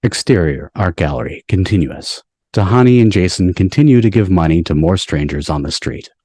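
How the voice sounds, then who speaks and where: A man's voice: low-pitched, expressive, brisk, warm tone, slightly rough, dry recording. A man reading stage directions as the narrator of a scripted table read. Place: video call from indoors.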